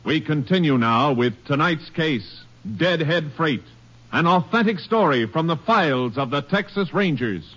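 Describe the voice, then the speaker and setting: A man announcing a program in a formal, deliberate, scripted 1950s radio style. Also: on the high side, animated, fairly bright tone. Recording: muffled vintage radio recording.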